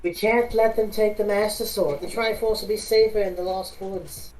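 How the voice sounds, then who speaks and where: A woman's voice: mid-range voice, steady tone, normal speed, a little mellow, faint music behind, natural voice, poor audio. A woman playing a serious young princess with an earnest, matter-of-fact manner in an amateur dramatic reading.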